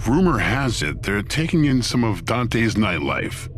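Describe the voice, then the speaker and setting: A man's voice: fairly low pitch, big pitch swings, measured pace, a little hiss or hum. An actor playing Satan, a smug, sardonic and theatrical ruler of Hell who toys with his listeners in an audio drama. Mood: brisk, commanding. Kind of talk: scripted audio drama acting.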